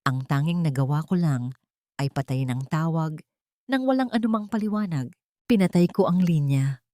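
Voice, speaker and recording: A woman's voice: sits low, lively intonation, moderate pace, mellow, dry recording, clean studio sound. A woman narrating a dramatic first-person confession in a solemn, anguished manner. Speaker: story narrator.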